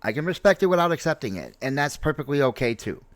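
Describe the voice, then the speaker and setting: A man in his late forties from New York City with an American accent, giving an unscripted personal opinion in a halting, reflective manner and repeating himself for emphasis. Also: on the high side, sing-song, no room sound. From New York City, United States. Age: late 40s. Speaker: streamer, content creator.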